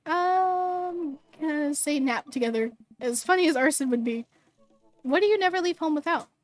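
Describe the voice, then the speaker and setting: Woman, streamer, hoarse, bubbly, tongue-tied at times, high-pitched, dry close sound. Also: lively intonation, normal speed, no echo. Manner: bubbly, chatty, stumbles over words. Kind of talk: live stream, reading game text and greeting chat.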